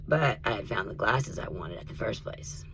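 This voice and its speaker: A rough-voiced man with a low, gravelly tone, sounding worn out. He talks casually and earnestly to the camera, slipping into soft whispers. Steady noise hisses underneath the recording. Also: normal speed. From Tennessee. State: rough voice needing rest. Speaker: vlogger. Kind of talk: casual spiritual vlog and storytime.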